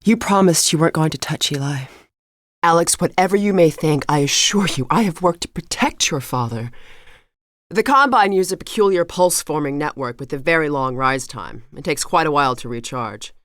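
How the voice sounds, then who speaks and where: A woman's voice: low voice, lively intonation, moderate pace, no echo, clean studio sound. An actress playing an earnest, composed middle-aged woman physicist in a video game, speaking precisely and a little formally. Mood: indignant. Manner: precise, articulate, slightly formal. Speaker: scientist character in a video game. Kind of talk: scripted video game voice acting.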